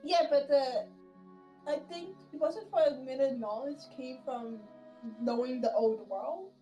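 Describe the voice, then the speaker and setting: High-voiced woman chatting over a game. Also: unhurried, warm tone, soft background music, poor audio. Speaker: gameplay commentator. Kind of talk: casual commentary on a video game.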